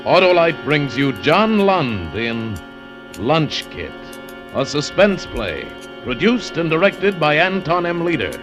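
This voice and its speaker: A male announcer introduces an old radio thriller with slow, drawn-out delivery. His pitch rises and falls dramatically, and music plays clearly behind him. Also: sing-song. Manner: slow, weighty, theatrical. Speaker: show announcer.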